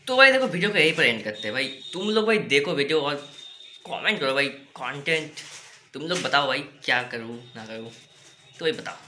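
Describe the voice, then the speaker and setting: A man's voice: a little high, lively intonation, brisk, sharp tone, slight background noise. A teenage boy in casual vlog chat, chatty and informal, filming himself on his phone at arm's length outdoors. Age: teens. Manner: chatty, keeps calling viewers brother. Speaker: vlogger. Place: outdoors on a field, then at home. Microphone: phone selfie camera at arm's length.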